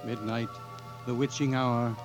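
A man narrating a fairy tale in a solemn, measured, dramatic 1940s radio style. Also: medium pitch, little pitch movement, natural voice. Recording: old 1940s radio recording with music.

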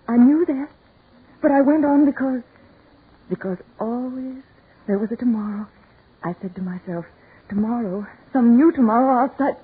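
A woman's voice: mid-range voice, breathy edge. An actress playing a dying woman, rapturous and yearning, in the breathless, theatrical style of 1940s radio melodrama. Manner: breathless, fervent, theatrical delivery. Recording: muffled, tinny 1940s radio recording with music.

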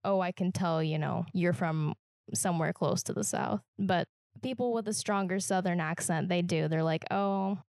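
Gravelly Midwestern woman, dry close sound. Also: fairly low pitch, steady tone, a little mellow, no echo. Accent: Midwestern American. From Kansas, US Midwest. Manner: reflective, halting, restarts phrases often. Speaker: podcast co-host. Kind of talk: casual podcast conversation.

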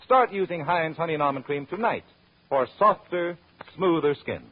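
A man's voice: on the high side, animated, normal speed, a little hiss or hum. An American man delivering a scripted 1930s radio commercial as an announcer, in a friendly, direct sales style. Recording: muffled vintage radio recording.

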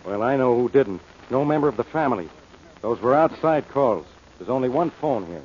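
Man as gruff argumentative cop, exasperated, swinging intonation, old radio mystery, dull lo-fi sound. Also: mid-range voice, lively intonation, normal speed, darkish tone, slight background noise, close to an everyday voice. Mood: stubborn, gruff. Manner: blunt, argumentative, barking. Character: gruff, stubborn, exasperated male police inspector. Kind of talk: old-time radio detective acting.

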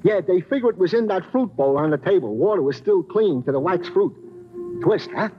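A man's voice: sits high, expressive, a little fast, faint music behind. An American man playing a chatty, eager police sergeant giving clipped reports with folksy asides in a radio drama. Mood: eager, official. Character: chatty, eager male police sergeant briefing his boss. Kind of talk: 1950s radio crime drama acting. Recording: muffled, noisy vintage radio recording.

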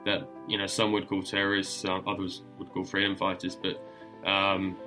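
A young man speaks as an interview guest, telling his travel stories in a casual, hesitant way, stumbling over words and filling gaps with ums. His voice sits a little low, with faint music in the background. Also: completely flat delivery, normal speed, pushed and bright. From born in UK, raised in Germany and Australia. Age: young adult. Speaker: guest explorer.

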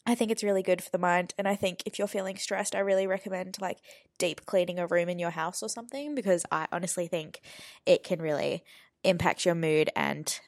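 A young woman in her twenties talks through her week as a podcast host, easygoing and rambling with plenty of ums. Her voice sits on the lower side and carries a slight nasal stuffiness from a cold. The sound is dry and close. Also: fairly quick, dry recording. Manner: chatty, rambling, many fillers. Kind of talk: casual chat.

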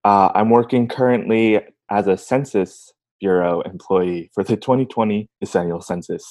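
A man's voice: on the low side, moderate pace, darkish tone. A man in his late twenties from Virginia, a podcast guest who talks in a rambling, hesitant, self-mocking way. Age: late 20s. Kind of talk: casual podcast chat.

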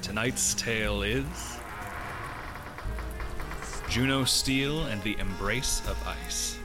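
An actor playing a genteel, ominous storyteller who formally welcomes listeners to an audio drama. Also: male voice, medium pitch, lively intonation, music in the background. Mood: stately welcome. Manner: formal, measured, deliberate. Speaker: narrator host. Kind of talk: theatrical scripted audio drama introduction.

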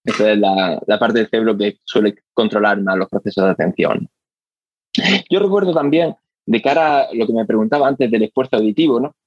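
A man with a slightly husky voice explains hearing science in an interview. He talks thoughtfully, restarting and repeating words as he works through his points. The sound is dry and a little dull. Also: lowish voice, brisk, dry recording. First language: Spanish. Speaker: hearing research guest.

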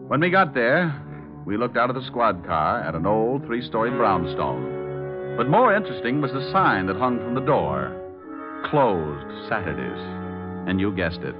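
An actor playing a flippant, quick-witted private detective in a vintage radio crime drama. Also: male voice, lowish voice, sing-song. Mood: wry narration. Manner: flippant, sardonic, teasing. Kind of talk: 1950s radio detective drama acting and first-person narration. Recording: dull old radio recording with music.

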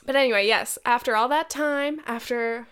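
A woman's voice: fairly high pitch, moderate pace, fairly bright tone, clean tone. An American woman in her twenties with a nasal, congested voice from a head cold, chatting casually and slangily as a solo podcast host. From United States. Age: 20s. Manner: slangy, sweary, self-mocking. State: getting over a head cold.

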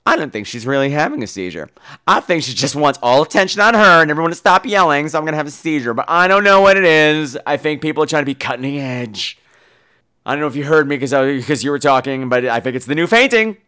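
High-voiced, animated wisecracking man. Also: fast talker, smooth. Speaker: podcast host. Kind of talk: casual film chat responding to a listener call.

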